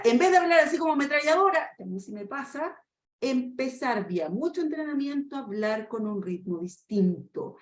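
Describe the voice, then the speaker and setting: A Chilean woman in her fifties giving a webinar lesson in a quick, animated way, acting out short dialogues and asking rhetorical questions. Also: fairly high pitch. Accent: Chilean Spanish. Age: 50s. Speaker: trainer. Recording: echoey room with faint noise.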